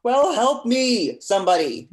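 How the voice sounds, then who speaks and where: A man's voice: very high-pitched, very animated, unhurried, full character voice. A man playing a timid, distressed innocent in a comedy table read, anxious and pleading, over faint noise. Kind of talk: live table read of comedy film script.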